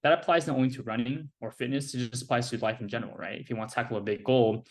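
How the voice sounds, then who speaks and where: A young American man in his twenties, a guest on an interview podcast, talking in a relaxed, casual way with lots of "like" and "you know". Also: mid-range voice, racing. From United States. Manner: relaxed, filler-heavy speech with many "like" and "you know".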